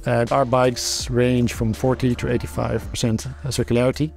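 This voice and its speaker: A man's voice: mid-range voice, level tone, moderate pace, gravelly, darkish tone, faint music behind. A middle-aged man from the Netherlands with a Dutch accent, speaking as a company founder in a documentary interview, thoughtful and often restarting his sentences. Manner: thoughtful, many restarts and fillers.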